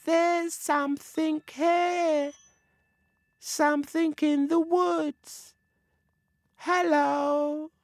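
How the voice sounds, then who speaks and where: Folk-tale forest spirit: tiny high voice, sweet yet pestering, pleading in drawn-out repeats. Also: no echo, exaggerated voice. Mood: gentle, curious. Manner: pleading, repetitive, drawn-out calls.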